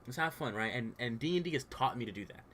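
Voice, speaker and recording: A man's voice: mid-range voice, lively intonation, quick pace, fairly bright tone, a little hiss or hum. Young man who stutters, rambling casually. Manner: informal, says "bro" and "like" a lot; stutters at times. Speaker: streamer and dungeon master. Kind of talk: casual heartfelt rant on stream.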